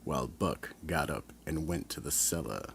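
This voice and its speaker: A man narrating a radio mystery drama in a deliberate, ominous storytelling manner. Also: very deep voice, gravelly, a bit bright, a little hiss or hum.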